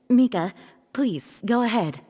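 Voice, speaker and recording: A woman's voice: mid-range voice, animated, very slow, dark tone, a little hiss or hum. A woman voicing a composed, courteous leader of knights with formal, polite delivery in a video game. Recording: echoing hall reverb, faint music.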